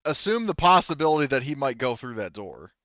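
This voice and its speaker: A man's voice: mid-range voice, sing-song, brisk, fairly bright tone, no room sound, dull audio. A man talking casually as the game master of a tabletop roleplaying session.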